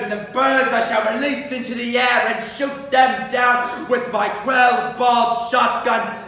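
A man's voice: sits high, sharp tone, exaggerated voice. Young man as pompous tyrannical headmistress, echoing room. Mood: grandiose menace. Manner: pompous, deliberate, emphatic declaiming. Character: tyrannical, sadistic school headmistress, pompous and menacing. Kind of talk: theatrical villain monologue. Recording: distant echoey room, faint noise.

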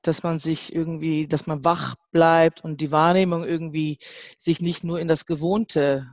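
A man's voice: a little high, little pitch movement, moderate pace, dry recording, studio quality. A man answering in a panel discussion, searching for words with fillers and restarts. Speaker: panel guest.

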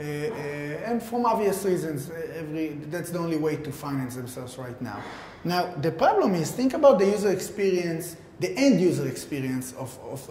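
Guy doing a halting tech pitch, super echoey reverby room, faint rumble. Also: mellow. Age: 30s. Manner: halting, filler-heavy delivery with repeated words. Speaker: tech company founder presenting a product. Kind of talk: informal keynote explanation to camera.

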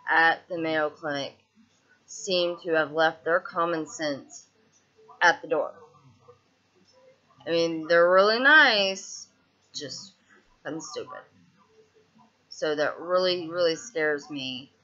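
A young woman with a Southern US twang talks straight into her laptop webcam from a small room, venting her frustrations in a loose, rambling video diary. Her voice is bright and forward, and it often stumbles over restarts and fillers. The audio is dull and lo-fi, typical of a built-in webcam mic. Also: sits low. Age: 20s. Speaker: vlogger. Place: small indoor room, seated on a couch.

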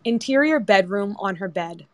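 A woman's voice: mid-range voice, expressive, normal speed, dry recording. A woman narrator reading screenplay directions as scripted narration. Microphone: remote audio chat app.

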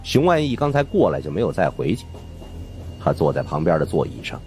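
Very deep-voiced man narrating a story. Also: huge pitch swings, fairly quick, darkish tone, faint music behind. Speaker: audiobook narrator. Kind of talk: scripted first-person suspense story narration.

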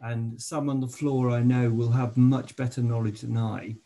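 A man's voice: medium pitch, little pitch movement, moderate pace, warm tone. A man on a club video call explaining a point hesitantly, with a self-deprecating manner and repeated words. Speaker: club member asking a question. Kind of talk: casual explanatory chat.

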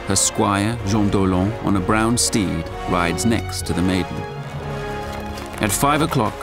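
A man delivering dramatic scripted narration for an audio drama. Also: lowish voice. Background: loud dramatic music.

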